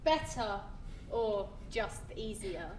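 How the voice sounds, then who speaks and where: A woman's voice: mid-range voice, animated, slow, darkish tone. An actress playing a blunt, teasing woman who asks pointed questions in a stage play. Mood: questioning. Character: blunt, teasing woman in an afterlife waiting room. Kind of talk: stage acting. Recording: roomy stage sound with noise.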